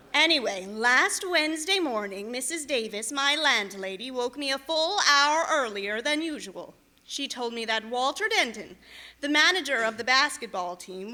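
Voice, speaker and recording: A high, bright female voice an actress plays a wry schoolteacher in a 1940s radio sitcom. She tosses off deadpan wisecracks with weary exasperation. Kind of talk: 1940s radio comedy acting.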